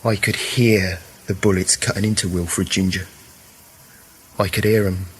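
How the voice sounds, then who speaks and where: An actor playing a fallen young First World War soldier in a radio play, haunted and wryly joking, with a plain-spoken, reflective way of talking. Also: male voice, sits low, steady tone, measured pace, fairly bright tone, slight background noise. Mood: shaken, wistful. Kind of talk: naturalistic radio play acting.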